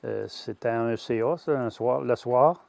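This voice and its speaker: A man's voice: medium pitch, measured pace, vocal fry, darkish tone, dry recording. Hesitant Québécois farmer in his sixties. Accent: Québécois French. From Quebec. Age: 60s. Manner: hesitant, many "euh" fillers and restarts. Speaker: grain-fed veal farmer. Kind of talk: personal testimony.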